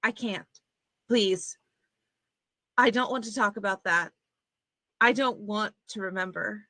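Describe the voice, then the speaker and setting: Woman acting a haunted young patient, bright voice. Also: mid-range voice, slow, no echo, exaggerated voice. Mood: guarded, upset. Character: haunted, fearful young female psychiatric patient, traumatized. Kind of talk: table read acting.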